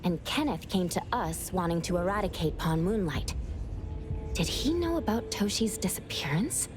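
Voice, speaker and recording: A voice actress playing a composed, analytical young female investigator in a video game, reasoning aloud in a measured, serious way. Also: fairly low pitch, lively intonation, music in the background, natural voice. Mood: intent, suspicious. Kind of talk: video game character acting.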